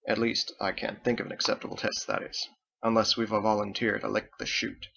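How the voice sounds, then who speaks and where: Man playing a gravelly, musing old-fashioned ship's captain. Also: lowish voice, completely flat delivery, sharp tone, muffled recording, exaggerated voice. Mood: ruminating. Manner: ruminating, unhurried, bookish phrasing. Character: educated, drowsy, pipe-smoking ship's captain, musing.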